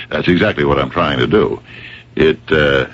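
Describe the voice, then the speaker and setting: An actor playing a gruff, skeptical homicide captain in a radio drama, with a gravelly voice and a dry, halting, probing manner. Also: male voice, a bass voice, full character voice. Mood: wry suspicion. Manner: halting, wry interrogator. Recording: dull old radio broadcast.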